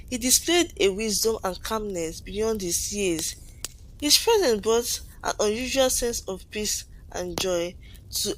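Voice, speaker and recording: A woman's voice: mid-range voice, rough recording. A woman reading a scripted folktale aloud as a storyteller, in a dramatic manner with the odd stumble over a phrase.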